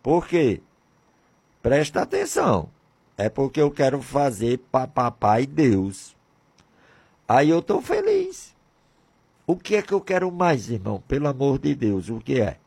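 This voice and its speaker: A man's voice: mid-range voice, wildly swooping pitch, unhurried, vocal fry, long pauses, darkish tone, husky. A man in his sixties from Brazil, talking on religious radio in a fervent, repetitive way with many rhetorical questions. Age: 60s. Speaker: radio presenter.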